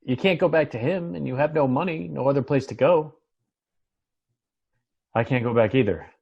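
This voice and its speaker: A man's voice: mid-range voice, normal speed, vocal fry, a little mellow, slightly rough, highs cut off. A man playing an earnest, troubled doctor in a TV drama read, reflective and understated. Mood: troubled, wistful. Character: Muff, earnest, troubled doctor seeking a new home.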